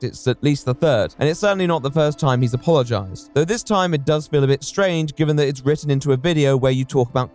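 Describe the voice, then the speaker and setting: A man's voice: mid-range voice, a little mellow. A man narrating a scripted video essay commentary in wordy, formal phrasing.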